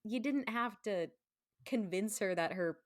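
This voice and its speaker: A woman's voice: medium pitch, measured pace, no echo, clean studio sound. A woman speaking as a podcast guest in a casual, informal conversational way, often saying "like". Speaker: podcast guest, stage performer. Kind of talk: casual chat.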